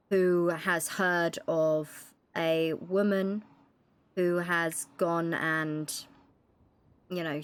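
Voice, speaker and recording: A woman with a fairly low voice talks in character as a police chief in her thirties. She swears easily and sounds worried as she works through the case out loud. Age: 30s. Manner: swears freely, worried and explaining at length. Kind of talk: in-character roleplay chat.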